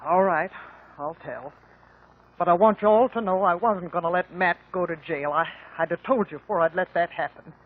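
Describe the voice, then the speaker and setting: An actress playing a rural American older mother confessing in halting, folksy speech in a radio drama. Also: fairly low pitch, natural voice. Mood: resigned confession. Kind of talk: 1950s radio drama acting. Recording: muffled 1950 radio recording.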